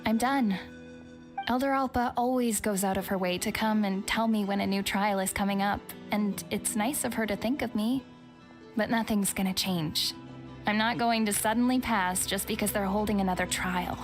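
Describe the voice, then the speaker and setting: An actor playing a modest young balloon operator who speaks softly and hesitantly. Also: male voice, sits high, steady tone, moderate pace, lightly acted. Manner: soft-spoken, hesitant. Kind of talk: video game voice acting. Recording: game music underneath.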